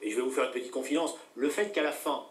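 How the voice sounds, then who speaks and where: A middle-aged man, a political guest on a talk show, speaking deliberately with many hesitations and fillers. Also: mid-range voice, reverberant, distant mic.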